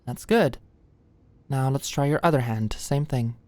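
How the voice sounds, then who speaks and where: A woman playing a sweet, anxious young medical student in an ASMR roleplay, speaking gently and a little awkwardly close to the mic. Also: extremely low pitch, dry recording. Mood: focused, gentle. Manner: gentle, halting, clinical instructions slipping into shy fluster. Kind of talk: ASMR roleplay, soft close conversational delivery. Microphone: close microphone, dry sound.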